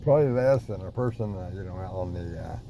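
Older man, low voice, slow drawl, dashboard mic, car wash roaring behind. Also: animated, noisy background. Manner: unhurried, mumbling, easily amused. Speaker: vlogger. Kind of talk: casual running commentary. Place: inside a car in an automatic car wash. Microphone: phone or camera on the dashboard.

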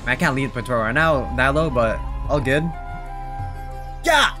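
A man's voice: medium pitch, measured pace. A man in his twenties giving casual, slangy reaction commentary, exclaiming and repeating himself. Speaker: reaction streamer. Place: small bedroom streaming setup, indoors. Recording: loud music from played videos. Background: game trailer music.

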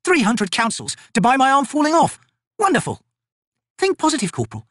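A man voicing a teasing female soldier in a high, light, mocking voice. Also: wildly swooping pitch, a little fast, a bit bright, dry recording, full character voice.

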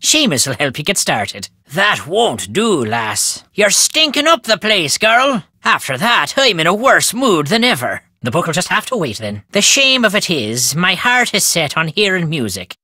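An actor playing a gruff, superstitious old Irish countryman in a video game, wry and stubborn, with folksy phrasing and a storytelling lilt. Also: sits high, very animated, a little slow, hardly any pauses, sharp tone, dry recording, studio quality, exaggerated voice. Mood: grumbling. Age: older. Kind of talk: video game character dialogue.

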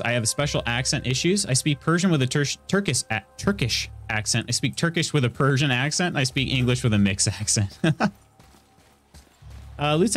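A man in his thirties with an Indiana Midwestern accent, chatting casually while playing and reading chat. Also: mid-range voice. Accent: Midwestern American, Indiana. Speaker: streamer. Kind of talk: casual gameplay commentary and chat. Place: small room at home, indoors. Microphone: studio mic on arm near face.

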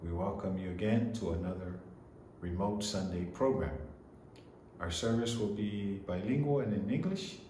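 Low-voiced pastor in an echoey room. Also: male voice, moderate pace, warm tone. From Samoa. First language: Samoan. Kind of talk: bilingual online church service address and sermon. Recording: roomy echo with faint background noise.

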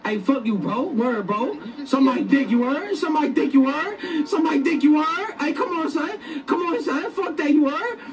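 A man with a very high, piercing voice yells hyped, repetitive street slang during a roleplay scene. He sounds distant, picked up through game voice chat, and a lively crowd hum runs behind him. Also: normal speed, hardly any pauses. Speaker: roleplayer in a replayed game clip.

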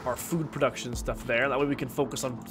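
A man's voice: medium pitch, fairly even intonation, quick pace. A young man with a headset giving casual commentary on a city-building game he plays, sniffling a little from a cold. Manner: chatty, talks to himself while building. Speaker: gaming streamer. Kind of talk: casual let's-play commentary. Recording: game music playing behind.

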